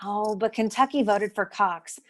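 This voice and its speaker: An actress playing a practical, skeptical sleuthing partner in a comedic noir audio play, brisk and wry. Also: female voice, mid-range voice, a bit bright, close to an everyday voice.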